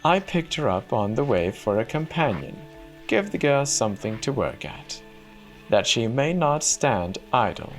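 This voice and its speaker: A man's voice: mid-range voice, very animated, measured pace, faint music behind, pitched above the performer's own voice. A man voicing a scheming young false bride in a fairy tale, imperious and coaxing. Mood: dismissive.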